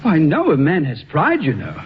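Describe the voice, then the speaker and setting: A genteel young Englishman stammers apologetically, his pitch swooping about. It is 1940s radio comedy acting with an English accent. The old recording is dull with slight noise. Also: huge pitch swings, moderate pace. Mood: charmed, sheepish. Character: polite, flustered, hungry young English actor.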